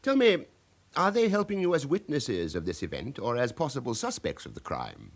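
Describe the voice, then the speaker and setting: An actor playing a precise, haughty defence lawyer in a radio crime drama, formal and pointed. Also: male voice, medium pitch, huge pitch swings, moderate pace. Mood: pointed, challenging.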